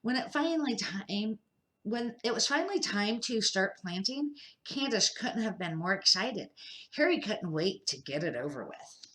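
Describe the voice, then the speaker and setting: An older woman, a grandmother, reading a children's picture book aloud in a measured, careful amateur style with short phrases. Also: medium pitch, sing-song, fairly bright tone, rough recording.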